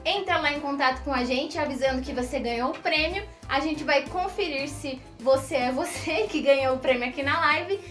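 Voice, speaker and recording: A young Brazilian woman presenting a live children's show, animated and exclamatory, talking directly to her young viewers. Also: high-pitched, moderate pace, hardly any pauses. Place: decorated indoor studio set, standing. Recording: roomy echo, music behind.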